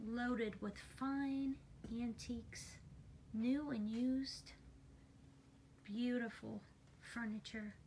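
A woman with a fairly high voice gives a casual, unscripted sales walk-through as an auction house presenter. She is recorded on a handheld phone in a big indoor warehouse, with noticeable background noise around her. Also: fairly even intonation, drawn-out words, long pauses, darkish tone, poor audio. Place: large furniture warehouse, indoors. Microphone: handheld phone camera, speaker behind it.

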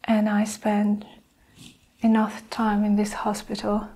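A young woman playing a guarded, defensive nurse under questioning in an improvised ASMR roleplay, measured and halting as she justifies herself. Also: mid-range voice, completely flat delivery, mellow. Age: young adult. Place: small indoor room with curtain backdrop. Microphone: clip-on lapel mic.

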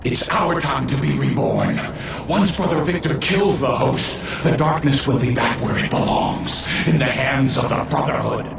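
A man's voice: mid-range voice, moderate pace, vocal fry, natural voice. Man as a zealous cultist in heavy echo. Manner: zealous, proclaiming. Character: fervent male cultist henchman, zealous and devout. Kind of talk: video game character acting. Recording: very echoey, distant, noisy ambience.

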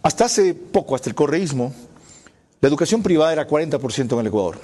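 Middle-aged man, assertive radio commentator, somewhat high voice, studio mic. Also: big pitch swings, quick pace, warm tone, faint music behind. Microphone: studio mic on a desk.

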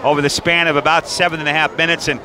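A middle-aged man hosts a post-game sports interview in a conversational way. His voice is somewhat high for a man. He talks through a headset at courtside, and crowd noise is clearly heard around him. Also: flat delivery, rapid-fire. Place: courtside in an indoor arena. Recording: crowd noise in busy arena.

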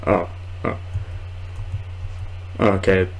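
Low-voiced young man, slow gaming chatter. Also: level tone, soft background music. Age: 20s. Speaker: gamer, video host. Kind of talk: casual let's play commentary. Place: small room at home, indoors. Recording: clearly noisy home recording.

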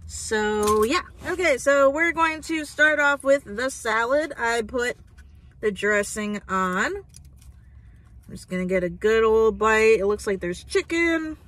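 A woman's voice: fairly high pitch, lively intonation, takes it slowly, fairly bright tone. A young woman in her twenties chatting casually through a food review, filling her talk with "like" and "um", recorded in a parked car. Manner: chatty, lots of "like" and "um". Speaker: food vlogger. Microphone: phone camera on dashboard.

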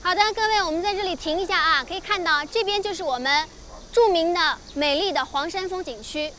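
Young female tour guide: very high, piercing bright voice, brisk and polite, addressing a group. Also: a little hiss or hum. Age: young adult. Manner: projecting, instructive, polite.

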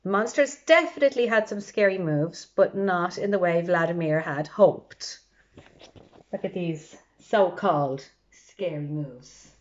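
A woman reading aloud to children in an expressive way, adding chatty asides as a storytime librarian. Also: lowish voice, measured pace, dull audio. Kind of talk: animated children's read-aloud.

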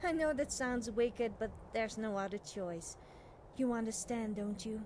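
A woman's voice: a little high, a little slow, noisy background, close to an everyday voice. A young woman playing an eager, nervous, cheerfully amoral witch student in video game dialogue, chatty and girlish, often hesitating and backtracking. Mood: earnest, explaining.

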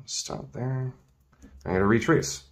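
A man's voice: mid-range voice, takes it slowly. A man teaching as a tailoring instructor in a casual hands-on demonstration, informal and thinking aloud as he works. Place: indoors at a work table.

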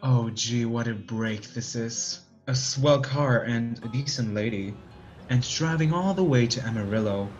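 A man's voice: medium pitch, a little slow, muffled recording, soft background music, close to an everyday voice. Radio play: actor as plain-spoken, colloquial young male hitchhiker, mid-range voice, easily spooked. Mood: easygoing, chatty. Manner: slangy, colloquial. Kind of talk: old-fashioned radio play acting.

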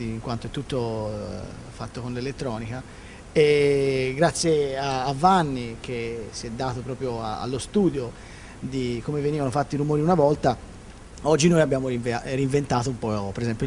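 Medium-pitched man in his forties, interviewed. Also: normal speed, darkish tone. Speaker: sound-effects performer being interviewed. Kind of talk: informal interview answers. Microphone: handheld interview microphone. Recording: faint room noise.

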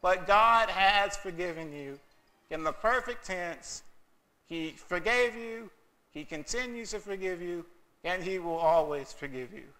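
A man's voice: high voice, a little slow, bright, forceful tone. A man preaching a sermon in a church, earnest and emphatic, often acting out quoted voices. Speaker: pastor. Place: church sanctuary.

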